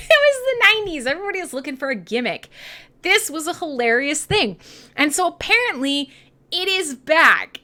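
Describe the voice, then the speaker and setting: A woman in her thirties with a Canadian accent, hosting a radio show in irreverent, sweary, sarcastic casual chat with listeners. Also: sits high. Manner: sweary, sarcastic, animated, laughs often.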